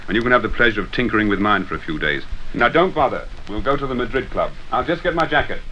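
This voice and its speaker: An actor playing a confident, commanding secret agent hero in a 1960s radio drama, brisk and decisive as he explains things. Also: lowish voice, expressive, noisy background, natural voice. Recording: dull 1960s radio recording with music.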